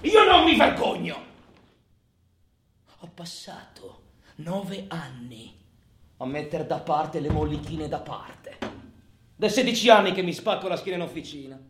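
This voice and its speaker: A volatile robber, roomy echo. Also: male voice, on the high side, very animated, a little slow, pauses often, a bit bright. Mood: defiant fury. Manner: volatile, sardonic, colloquial, swinging from muttering to outbursts. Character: bitter, boastful Italian bank robber, failed body-shop mechanic. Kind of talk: theatrical radio drama monologue. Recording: roomy, echoing sound with faint noise.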